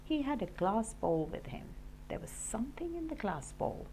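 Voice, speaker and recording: A woman teacher reading a children's storybook aloud in an expressive, deliberate way. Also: on the high side, slight background noise, low-quality recording. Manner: animated, deliberate storytelling for young listeners. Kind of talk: expressive children's audiobook narration.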